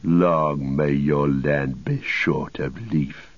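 Guy doing sneering old fallen wizard for an audiobook, cracked deep voice, kinda muffled and echoey. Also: steady tone, exaggerated voice. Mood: sneering mockery. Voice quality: cracked, husky. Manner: mocking, drawn-out archaic phrasing.

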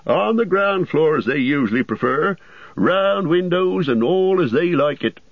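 A man voicing a bustling, forgetful, talkative middle-aged innkeeper in a storybook reading, in a high, hurried, fussy voice. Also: expressive, no room sound, highs cut off. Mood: chatty, harried.

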